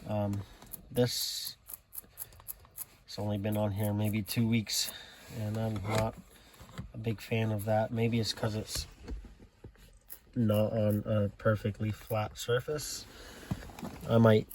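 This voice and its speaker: American guy kinda low voice, takes his time, just showing his setup matter of fact, noisy handheld audio. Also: flat delivery, darkish tone. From South Jersey, United States. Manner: plainspoken, matter-of-fact, hesitant pauses. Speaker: hobbyist showing a car CB radio installation. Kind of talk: casual unscripted walkthrough. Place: in and around a car. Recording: clearly noisy handheld recording with clicks.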